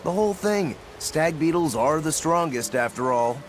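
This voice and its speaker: A man's voice: fairly high pitch, lively intonation, normal speed, a little hiss or hum, lightly acted. A man voicing an easygoing, boyishly enthusiastic swordsman in a video game, informal and breezy. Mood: excited, argumentative. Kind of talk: English video game voice acting.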